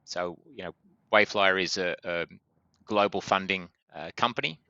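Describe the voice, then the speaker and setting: A man with a strong Australian accent, a guest on a business podcast, explaining in a brisk way with many fillers. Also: low voice, sharp tone, no echo, muffled recording. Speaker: guest from a funding company. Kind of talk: podcast interview.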